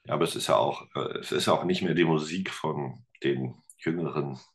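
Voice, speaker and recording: A middle-aged man, a teacher and musician, talking casually as a podcast guest, relaxed and rambling with many fillers. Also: extremely low pitch, moderate pace. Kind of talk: casual interview chat.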